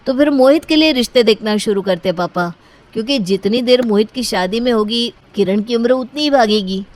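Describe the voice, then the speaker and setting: A woman's voice: on the high side, fairly quick, darkish tone, lightly acted. A woman playing an outspoken, assertive married son of the household in a cartoon family story. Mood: persuasive. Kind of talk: Hindi moral-story cartoon dialogue.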